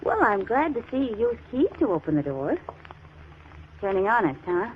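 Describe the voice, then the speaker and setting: An American actress playing a sleuth's sharp-tongued, teasing sweetheart in a 1940s radio crime drama, quick with pointed retorts. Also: female voice, medium pitch, very animated, slight background noise, natural voice. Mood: teasing, curious. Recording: dull 1940s radio recording.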